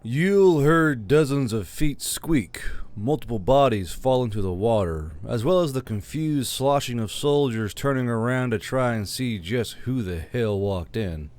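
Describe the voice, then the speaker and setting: A man's voice: medium pitch, expressive, measured pace, few pauses, a little mellow. Man narrating a fantasy story. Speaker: audiobook narrator, channel host.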